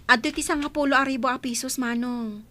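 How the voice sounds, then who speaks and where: A woman's voice: high voice, steady tone, fairly quick, pushed and bright, slight background noise. A woman playing a grateful, honest village storekeeper, polite and cheerful in an Ilocano radio comedy drama.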